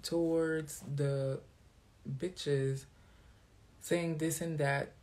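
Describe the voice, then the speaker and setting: A man's voice: a little high, level tone, drawn-out words, a little mellow. A man from Belgium, a native French speaker talking in English, giving a sassy, defiant monologue to his viewers. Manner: sassy, defiant, throws shade. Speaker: vlogger.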